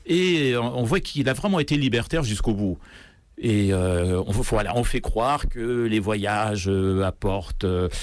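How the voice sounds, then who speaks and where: A man from Iran speaking hesitantly, with many pauses, as an unscripted guest in a radio interview. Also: on the low side, lively intonation, no room sound, studio quality. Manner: hesitant, halting delivery full of pauses. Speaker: guest singer. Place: radio studio. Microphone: close studio microphone.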